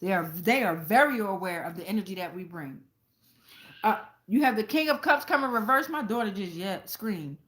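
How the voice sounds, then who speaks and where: A woman's voice: medium pitch, expressive, normal speed, a bit bright. A woman host giving a casual tarot reading with personal stories, speaking bluntly with lots of swearing and checking in with her viewers. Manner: conversational, addresses viewers directly with frequent check-ins. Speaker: tarot reader and channel host.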